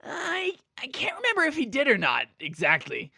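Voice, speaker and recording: A brash young pegasus stallion from an audio story, acted by a woman, boastful and slangy. The voice sits high and swings widely in pitch. It is recorded very dry and close. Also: wildly swooping pitch, normal speed, vocal fry, pushed and bright, breathy edge, no room sound, heavily acted. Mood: casual, musing. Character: brash, cocky young male pegasus pony. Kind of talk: character acting in a fan-fiction audio story.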